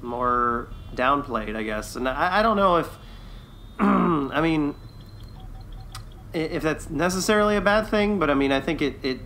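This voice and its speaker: A man's voice: a little high, lively intonation, measured pace, sharp tone, slight background noise. A man co-hosting a casual review podcast, speaking in a hesitant, agreeable and conciliatory manner. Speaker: podcast co-host.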